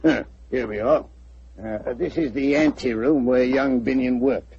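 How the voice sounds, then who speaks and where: An actor playing a blustering, self-assured police inspector in a 1940s radio drama. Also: male voice, medium pitch, steady tone, unhurried, gravelly, a little mellow, breathy edge. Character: blustering police inspector, middle-aged man. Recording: muffled old radio recording.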